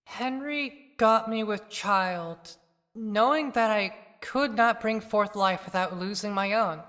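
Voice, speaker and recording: A woman's voice: medium pitch, fairly bright tone. A woman playing a wronged young wife who speaks solemnly from the grave in a poetic audio play. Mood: solemn, accusing. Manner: solemn, deliberate, declamatory. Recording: long hall reverb.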